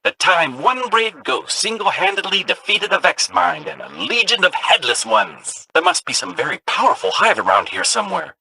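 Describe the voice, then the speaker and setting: An actor playing a chirpy, nerdy robot companion with an excitable, swooping delivery. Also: male voice, high voice, normal speed, vocal fry, hardly any pauses, husky, full character voice, rough recording. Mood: excited.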